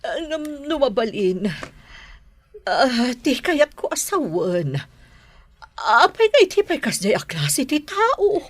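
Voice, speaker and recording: A woman's voice: high voice, very animated, slow pace, no echo, heavily acted. A woman acting a worried, disapproving village mother in an Ilocano radio drama.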